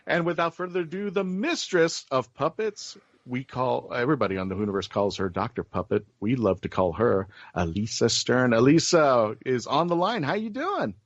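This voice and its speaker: Male interviewer, theatrical, chatty, lively intonation, dry slightly muffled audio. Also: mid-range voice, normal speed, few pauses, no room sound. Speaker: show host.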